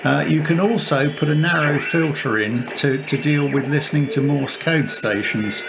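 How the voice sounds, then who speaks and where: A man giving an informal, unscripted equipment demonstration as a radio hobbyist, explaining haltingly and correcting himself as he goes. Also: on the high side, creaky. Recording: dull sound with loud background noise.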